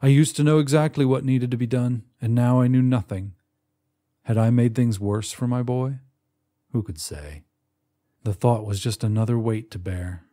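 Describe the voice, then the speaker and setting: A man narrating a first-person short story in a measured, expressive way with dramatic pauses. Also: mid-range voice. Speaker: audiobook narrator.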